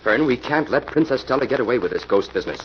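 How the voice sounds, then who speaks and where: An actor playing a brisk, level-headed and commanding investigator in a 1940s radio mystery. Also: male voice, mid-range voice, expressive, faint music behind, lightly acted. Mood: businesslike. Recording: dull, noisy old radio recording.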